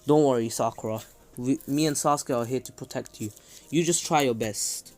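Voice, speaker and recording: A man's voice: mid-range voice, moderate pace, a little mellow, lightly acted. A man voicing a young ninja boy in a story, casual, friendly and a bit cheeky. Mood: reassuring, puzzled. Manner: casual, slangy.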